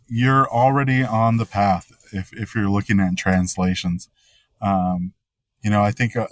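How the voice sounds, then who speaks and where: Slow, deep-voiced American man, Spanish first. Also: no echo. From United States. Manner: slow, measured, thoughtful. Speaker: podcast guest. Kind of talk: interview answers.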